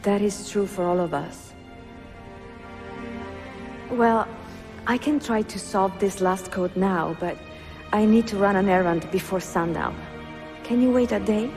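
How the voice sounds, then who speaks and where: An actress playing a cultured, gracious and gently playful Italian woman in a video game, with an Italian accent. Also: mid-range voice, measured pace, long pauses, natural voice. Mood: gracious, conversational. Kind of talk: cinematic video game acting. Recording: music behind the dialogue.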